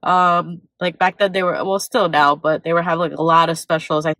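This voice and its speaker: A woman's voice: fairly low pitch, fairly even intonation, brisk, bright, forceful tone, no room sound. Congested young woman rambling in a car. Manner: rambling, self-correcting, many fillers. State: congested, stuffy nose. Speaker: vlogger. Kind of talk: casual vlog chat. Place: parked car.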